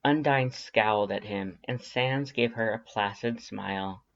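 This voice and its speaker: A man's voice: fairly low pitch, measured pace. Man narrating a story, slightly dull sound. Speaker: fan fiction audiobook narrator. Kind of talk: scripted second-person story narration.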